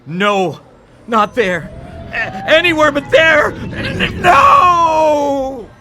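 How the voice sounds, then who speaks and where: A man's voice: very high-pitched, big pitch swings, very slow, raised voice, very noisy, full character voice. An actor playing a terrified, pleading man being banished in a live radio drama. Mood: panicked, pleading.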